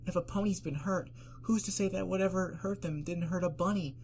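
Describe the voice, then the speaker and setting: Timid female pegasus pony played by a narrator, high and hesitant, easily frightened, fan storybook. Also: fairly even intonation, brisk, a little mellow, slight background noise, natural voice. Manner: hesitant, timid, squeaky when upset. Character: shy, fearful, squeamish female pegasus pony.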